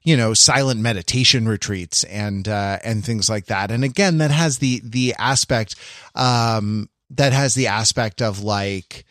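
A man co-hosting a podcast in casual conversation, rambling and halting as he circles back to rephrase his thoughts. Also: lowish voice, fairly bright tone, no room sound, clean studio sound. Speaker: podcast co-host.

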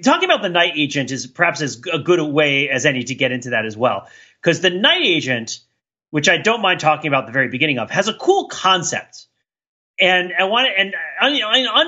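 Animated, bright-voiced man, slightly dull audio. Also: fairly high pitch. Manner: analytical, discursive. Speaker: podcast host. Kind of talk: casual chat about TV shows.

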